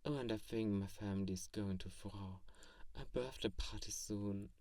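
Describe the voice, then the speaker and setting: A man voicing a kindly, grandiose vampire who befriends a lonely human, speaking softly and earnestly in an intimate ASMR roleplay. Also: on the low side, moderate pace, no room sound, recorded from a distance, close to an everyday voice. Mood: playful, warm. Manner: soft-spoken, earnest, grandiose, gently teasing. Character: kindly, theatrical, self-styled great male vampire befriending a lonely human.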